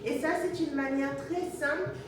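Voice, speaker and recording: A woman's voice: high voice, steady tone, moderate pace. A young woman whose first language is Oshiwambo gives an earnest, hesitant church testimony as a guest author, with frequent fillers. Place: church hall. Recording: echoey church hall from far off, crowd.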